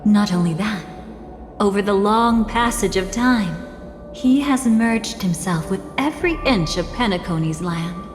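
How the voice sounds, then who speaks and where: A young woman voicing an enigmatic, teasing game character who is composed and calmly fond of danger. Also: medium pitch, unhurried, close to an everyday voice. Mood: measured, ominous. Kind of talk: video game cutscene voice acting. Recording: long echoing reverb, game music behind.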